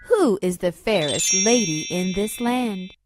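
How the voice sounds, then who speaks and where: A vain and commanding evil queen, put on by a woman reader, speaks formally in a fairy tale. Jealous and imperious, the voice moves slowly over prominent music. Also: mid-range voice, animated, lightly acted.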